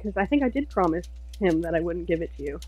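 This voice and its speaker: Husky woman chatting over a roleplay. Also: fairly low pitch, expressive, fast talker, dark tone. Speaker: roleplay player. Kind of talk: casual chat and roleplay narration.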